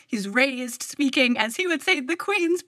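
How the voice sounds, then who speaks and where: A woman's voice: high voice, lively intonation, unhurried, fairly bright tone, no echo, clean studio sound. A woman in her thirties from New York, a journalist guest chatting casually in an interview, laughing often between her words. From New York, US East Coast. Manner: chatty, laughs often mid-sentence, many fillers. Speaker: public radio journalist, guest.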